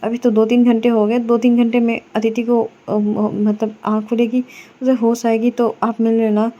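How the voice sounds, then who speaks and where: A woman voicing a middle-aged mother-in-law who speaks gently and hesitantly, stumbling over her words. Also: a little high, little pitch movement, highs cut off, close to an everyday voice. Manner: halting, hesitant, many broken starts.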